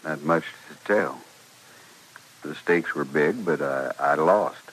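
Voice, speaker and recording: An American man in an old radio western, playing a bitter, dying storekeeper, weak and halting, with a western accent. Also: very deep voice, a bit bright, husky, full character voice, low-quality recording. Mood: bitter, resigned. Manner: halting, plain, resigned. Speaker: radio actor. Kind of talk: 1950s radio western acting. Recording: vintage radio recording.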